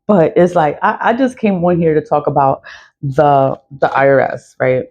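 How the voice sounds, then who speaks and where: A woman with a raspy voice, venting bluntly and profanely in an early-morning vlog, repeating herself as she goes. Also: low-pitched, expressive, normal speed, darkish tone, highs cut off. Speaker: vlogger. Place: dark room before dawn.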